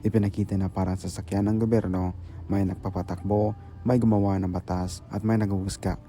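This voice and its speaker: A man's voice: sits low, level tone, fairly quick, warm tone, soft background music. A man with a Filipino accent reading scripted explanatory narration. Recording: faint background noise.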